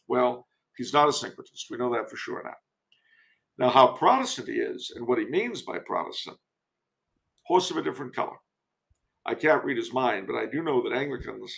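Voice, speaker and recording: Man, American accent, creaky mid-pitched voice, sardonic delivery, conversational commentary. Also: fairly bright tone, poor audio. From United States. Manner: sardonic, wry, emphatic. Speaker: podcast guest commentator. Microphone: remote internet call.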